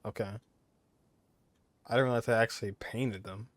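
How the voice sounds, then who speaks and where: A man streams a video game with casual commentary. His voice is fairly low, and he reacts in a laid-back way, musing aloud as he goes. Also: fairly even intonation, moderate pace, no echo. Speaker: gaming streamer.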